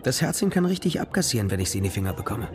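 Quick-talking streetwise detective. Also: male voice, fairly low pitch, big pitch swings, music in the background. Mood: glib, brisk. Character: male private detective, glib, streetwise, coaxing. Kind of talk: radio drama dialogue.

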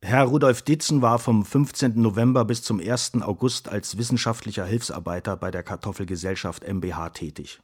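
An actor playing a stern, formal sanatorium doctor in a radio play, reading out reports in a matter-of-fact, officious way. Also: male voice, on the low side, brisk, few pauses, husky. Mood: official.

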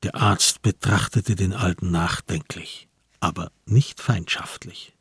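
A man as the sober narrator of a radio crime drama, telling the story in measured literary prose. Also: low-pitched, steady tone, slightly rough, no room sound, studio quality, exaggerated voice.

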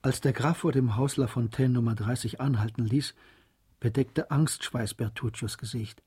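An actor as the storyteller of a German radio drama, reading scripted literary narration in a dark, husky voice. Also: male voice, medium pitch, steady tone, normal speed. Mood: measured narration. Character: storyteller narrating a classic adventure tale.

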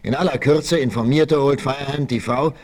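A man's voice: mid-range voice, fairly even intonation, normal speed. A man narrating a 1960s German radio play in a dramatic, scripted storytelling style. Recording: noisy old vinyl rip.

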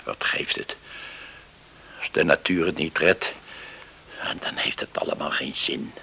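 An embittered, stubborn farmer and father for a radio play. The voice is deep and gravelly yet sharp-edged. He speaks in terse, halting phrases with gruff pride. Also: steady tone, whispered, full character voice. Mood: weary, resigned. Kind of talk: Dutch radio play acting.

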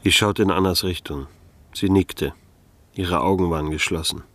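A private detective in a German radio drama, his voice on the low side. He sounds sceptical and sardonic, keeping a dry, wry, guarded manner throughout. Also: moderate pace, a bit bright.

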